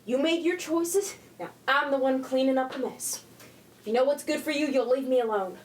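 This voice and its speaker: An echoey, distant recording of a high-pitched teenage girl acted by a woman in a holiday radio drama. She is the embittered eldest sister carrying too much, scolding and sarcastic. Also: normal speed, close to an everyday voice. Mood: bitter, curt. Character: embittered, overburdened teenage eldest sister in wartime West Virginia. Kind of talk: holiday radio play acting. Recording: echoey room, distant mic, background music, noise.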